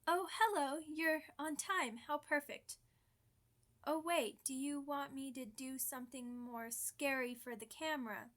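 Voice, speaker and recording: Girl doing a chatty old vampire for asmr roleplay, kinda high voice, really slow, playful n theatrical. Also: fairly bright tone. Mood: playfully theatrical. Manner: theatrical, chatty, mock-grand asides.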